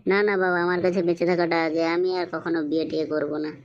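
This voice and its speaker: A woman's voice: lowish voice, little pitch movement, racing, no room sound, lightly acted. A woman voicing a hapless, pleading young male swindler in a Bengali comedy cartoon. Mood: pleading, nervous. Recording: dull lo-fi, very dry cartoon dub.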